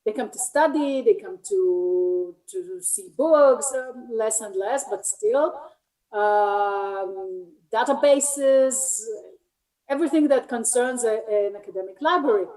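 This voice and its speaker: A woman in her fifties from Israel, with an Israeli accent and Hebrew as her first language, chatting as an interview guest and pausing to find her English words. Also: fairly high pitch, a little mellow, far from the mic, low-quality recording. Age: 50s. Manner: hesitant, searching for English words. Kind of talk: casual interview chat.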